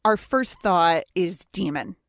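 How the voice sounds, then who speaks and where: A woman's voice: lowish voice, slow pace, no room sound. Wry woman podcaster, dry close sound. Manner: wry, joking. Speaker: podcast co-host. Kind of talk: casual TV discussion chat.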